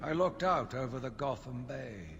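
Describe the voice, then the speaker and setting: An actor playing an embittered, zealous asylum doctor who gives a solemn, theatrical monologue for a video game audio log. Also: male voice, mid-range voice, animated, close to an everyday voice. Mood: menacing, resolute. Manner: solemn, measured, brooding, theatrical. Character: embittered male asylum doctor, grief-stricken, zealous, slowly turning vengeful. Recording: long reverberant echo, loud background noise.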